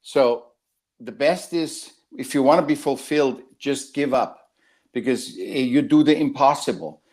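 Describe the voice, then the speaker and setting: A man's voice: medium pitch, darkish tone. Rambling man on a call. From Austria. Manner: rambling, halting, with many restarts. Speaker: guest artist. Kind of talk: casual interview talk.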